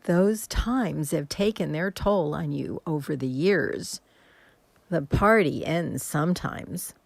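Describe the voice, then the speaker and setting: Long-suffering stage assistant, played by an actress: woman, frank, reproachful, unhurried and creaky, radio drama. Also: sits low, no room sound, exaggerated voice. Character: weary, long-suffering female magician's assistant. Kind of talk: radio mystery play acting.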